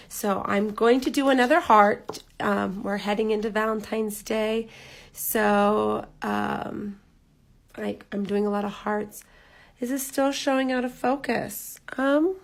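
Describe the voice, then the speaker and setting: A woman with a hoarse, raspy voice leading a live craft tutorial, chatty with lots of ums, dropping almost to a whisper as she works. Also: on the high side. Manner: chatty, relaxed, frequent ums, drops to near-whisper while focusing. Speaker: rock painting instructor.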